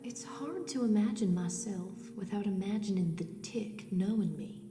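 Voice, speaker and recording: Breathy, lovestruck dreamy woman over music, acted. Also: medium pitch, moderate pace, close to an everyday voice. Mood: hushed, entranced. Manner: breathy, drifting into whispers. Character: dreamy woman obsessively in love with a tick. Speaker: radio play performer. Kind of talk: intimate radio play monologue. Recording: music under the voice.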